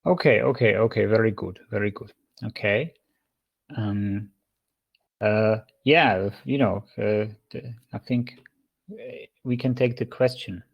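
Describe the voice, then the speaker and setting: A man's voice: fairly low pitch, takes it slowly, creaky, pauses often, slightly rough, muffled recording. A man with a Czech accent giving an online university lecture over a video call, stopping and restarting often with many fillers. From Czech Republic.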